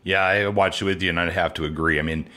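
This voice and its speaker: Male podcast co-host, very low voice, relaxed casual chat. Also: fast talker. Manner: relaxed, rambling with restarts.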